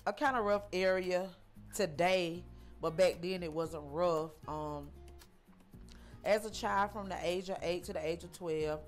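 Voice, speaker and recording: A woman, from Georgia, telling her life story in an interview, halting and repeating herself with frequent ums. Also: on the low side, fairly even intonation, soft background music. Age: 40s. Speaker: interview guest.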